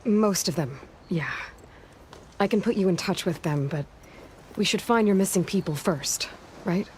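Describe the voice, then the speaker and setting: An actress playing a determined, pragmatic young woman adventurer in a video game cutscene, measured and matter-of-fact. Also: fairly low pitch, a little mellow, slight background noise, close to an everyday voice. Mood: careful, tactful.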